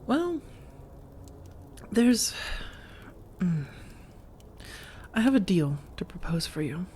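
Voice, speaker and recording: A woman playing a seductive, teasing vampire roommate in soft-spoken ASMR roleplay, coaxing and reassuring, often drifting into whispers. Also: on the low side, big pitch swings, slow, a little hiss or hum. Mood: teasing, secretive.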